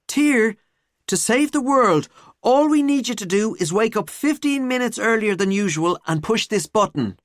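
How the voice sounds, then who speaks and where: A woman's voice: fairly high pitch, animated, normal speed, no room sound, studio quality, voice pushed up, lightly acted. A woman voicing an earnest, easily embarrassed teenage schoolgirl in an audiobook, wry and defensive. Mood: wry amusement.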